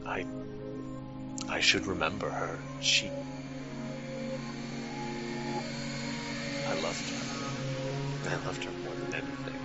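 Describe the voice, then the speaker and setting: Casting: man as a grave, sorrowful immortal fae noble for audio drama; very low pitch, stately halting delivery, scored with music. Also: lively intonation, dull audio. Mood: grieving, confused. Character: weary, haunted fae storyteller-lord, mournful and gravely formal. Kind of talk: fantasy audio drama acting.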